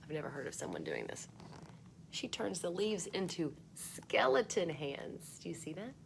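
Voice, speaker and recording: A woman reading a picture book aloud for children, expressive, with chatty asides spoken straight to young listeners. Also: on the low side, moderate pace, creaky, slight background noise.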